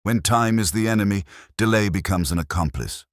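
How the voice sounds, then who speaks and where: A man voicing a shrewd, commanding Victorian detective with measured, formal speech in an audiobook story. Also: fairly low pitch, no room sound, studio quality, pitched above the performer's own voice, lightly acted. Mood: firm, resolute. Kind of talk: audiobook character dialogue.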